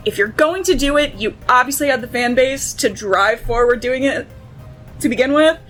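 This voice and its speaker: A woman's voice: sits high, moderate pace, fairly bright tone. A woman chatting casually as a panelist in a fan discussion. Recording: faint music behind voice. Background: music.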